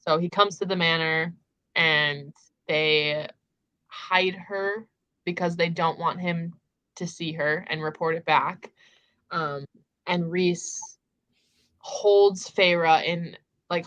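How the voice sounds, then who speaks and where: A woman's voice: on the low side, fairly even intonation, slow, long pauses, fairly bright tone, no echo. A woman hosting a casual book discussion, retelling in an emphatic, conversational way peppered with filler words.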